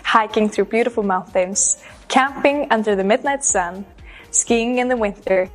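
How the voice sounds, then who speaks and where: Young woman reading her pageant intro, earnest and measured, mid-pitched voice. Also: faint music behind. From Iceland. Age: 20s. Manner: earnest, measured recited delivery. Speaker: pageant contestant. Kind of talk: scripted self-introduction.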